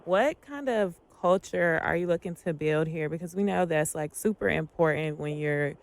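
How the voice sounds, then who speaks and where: A man's voice: fairly high pitch, moderate pace, gravelly. A man hosting a conversational sports radio interview. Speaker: radio host.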